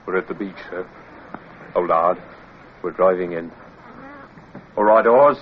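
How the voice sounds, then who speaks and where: A man's voice: low-pitched, unhurried. An actor playing a dutiful seaman calling to oarsmen in a radio drama. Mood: brisk, dutiful. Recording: tinny, noisy radio recording.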